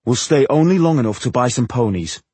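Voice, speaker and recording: A man's voice: mid-range voice, expressive, brisk, darkish tone, husky, dry recording. A man voicing a sensible, decisive male dwarf leader with a practical, firm manner in a fantasy audiobook. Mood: cautious, firm.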